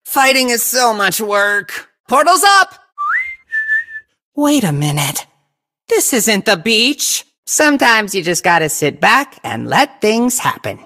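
A woman's voice: mid-range voice, very animated, slow pace, sharp tone, full character voice. A woman voicing a cute, lazy slacker fox from a video game, complaining wryly. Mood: whiny, playful. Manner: lazy, wry, sighing complaints. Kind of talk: video game character voice lines.

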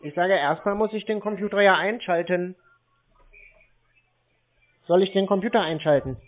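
A man speaks in a fairly high male voice through a narrow phone band. He chats casually and keeps a straight-faced, naive tone as he questions the other side. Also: moderate pace. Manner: feigned naive, deadpan questioning. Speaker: prank caller baiting phone scammers. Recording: tinny phone-like sound.

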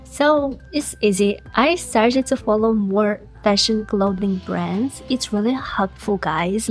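A young woman from Kazakhstan, a Russian speaker, chatting in English through a casual question-and-answer vlog with a clip-on mic in a high-rise room. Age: young adult. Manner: chatty, animated, talks with her hands. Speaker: influencer. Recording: faint music underneath.

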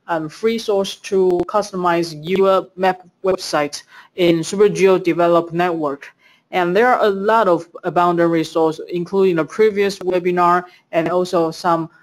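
Woman host, presenting webinar slides steadily. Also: fairly low pitch. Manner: reads lists from slides, some fillers.

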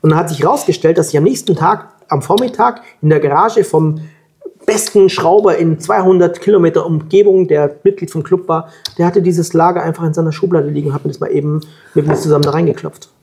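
A man from Germany in his forties, telling lively travel anecdotes as the guest at a table interview, on a lapel mic. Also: on the high side, brisk, creaky. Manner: lively anecdotal storytelling, colloquial. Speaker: guest, long-distance scooter traveller. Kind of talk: storytelling in a sit-down interview. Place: room with plants at a table.

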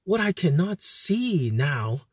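Husky man preaching haltingly. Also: on the high side, very animated, no echo, studio quality. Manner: halting, with frequent hesitations and repeated words. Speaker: pastor. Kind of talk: informal recorded sermon with Bible teaching. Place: inside a parked van at night.

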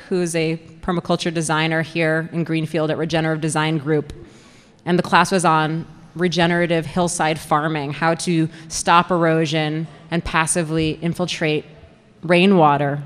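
Low-voiced young woman presenting in a reverberant hall. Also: clear, resonant tone. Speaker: college program coordinator presenting. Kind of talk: prepared public presentation with slides. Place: indoor presentation room with audience. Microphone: handheld-style mic on stand. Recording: reverberant hall through PA microphone.

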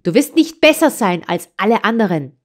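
A grim, short-tempered farm wife in a storybook who snaps and drives a child away, as a woman reading aloud puts her on. She scolds sharply and a little louder than the narrator, and her voice sits somewhat higher than the reader's own. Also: very animated, fairly quick. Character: angry middle-aged farmer's wife, harsh and grim.

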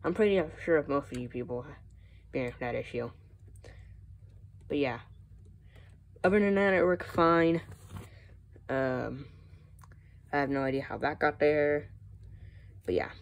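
A woman's voice: extremely low pitch, measured pace, long pauses. A young woman with an American accent giving a casual show-and-tell narration on a handheld phone at home. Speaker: hobbyist vlogger showing a collection. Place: room at home. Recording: noisy handheld phone recording.

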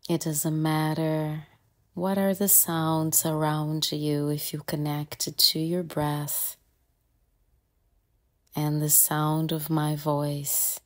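Very slow, low-voiced woman guiding meditation. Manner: calm, gentle, with long pauses between short phrases. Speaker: meditation guide. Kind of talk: guided meditation, soft and soothing instruction.

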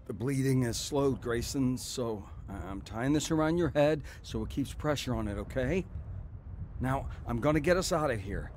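An actor playing an earnest, emotional brother telling of his ordeal in a radio drama, with halting delivery. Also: medium pitch, expressive, a little mellow, close to an everyday voice. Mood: urgent, tender. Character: anguished, repentant brother narrating his blizzard ordeal. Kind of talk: radio drama acting and narration.

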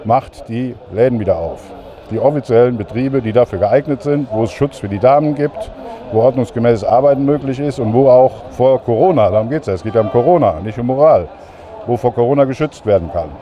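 A man's voice: mid-range voice, fairly quick, mellow. A man in his fifties presenting an emphatic on-location report to camera outdoors. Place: city street outdoors. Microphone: handheld mic. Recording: dull outdoor sound, faint street noise.

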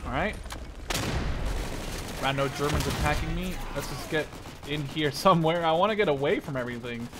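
A man in his twenties giving casual, sweary commentary over first-person shooter gameplay in an excitable manner. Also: a little high, moderate pace, long pauses, heavy background noise. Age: 20s. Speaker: gaming video creator. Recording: loud gunfire and explosions behind. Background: war game sound effects, gunfire, machine guns.

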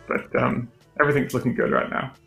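A young Australian illustrator chatting on a livestream while drawing, relaxed and hesitant with dry humor, on a headset mic. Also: male voice, mid-range voice, a little fast, vocal fry, faint music behind. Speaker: illustrator guest.

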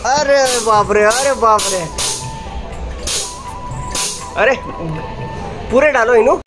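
Unhurried father coaxing his child over music. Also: very high voice. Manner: coaxing and cheering a small child, repeating short words in Hindi and English. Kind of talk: casual vlog commentary. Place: indoor mall play zone. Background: loud music in the play area.

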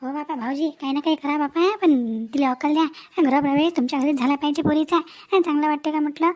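Woman playing high-pitched, outspoken village mother in cartoon. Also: fast, a little mellow, close to an everyday voice. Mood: pleading. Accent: rural Vidarbha Marathi. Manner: chatty, emphatic, repetitive scolding and advising. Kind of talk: animated family story dialogue.

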